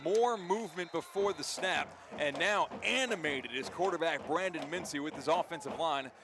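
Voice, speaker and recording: A man doing energetic live radio play-by-play commentary of a football game. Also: a little high, normal speed, background noise. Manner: energetic, vivid play-by-play delivery. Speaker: play-by-play sports announcer.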